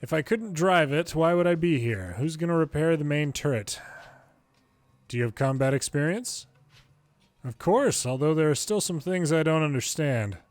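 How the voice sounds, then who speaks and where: A man's voice: fairly high pitch, moderate pace, no room sound. A man reading a video game's story dialogue aloud in English, as a longplay narrator.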